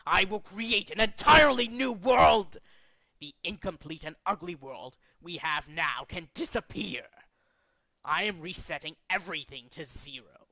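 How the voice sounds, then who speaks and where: A man voicing a cold, megalomaniac villain boss in a dramatic, deliberate video game monologue. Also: high-pitched, vocal fry, pushed and bright, no room sound, pitched above the performer's own voice, exaggerated voice, rough recording. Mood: grandiose, commanding, then contemptuous, resolute. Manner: grandiose, deliberate, menacing. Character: cold, megalomaniac male villain boss bent on remaking the world. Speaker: amateur voice actor in demo reel.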